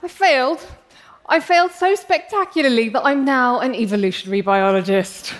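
Young English woman, English accent, lively public talk, self-mocking humor, a bit high-pitched. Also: normal speed, a faint crowd. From England. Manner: passionate, self-deprecating humor. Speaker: speaker giving a talk.

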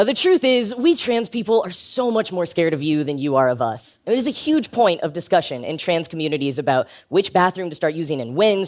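An American man delivering a prepared live talk in a conversational, wry and emphatic way, as a public speaker with a humorous, educational bent. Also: a little high.

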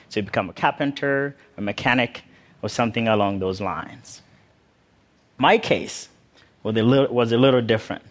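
Male speaker from Uganda, lively funny storytelling, live stage talk. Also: medium pitch. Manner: humorous, vivid storytelling. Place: stage in a large hall.

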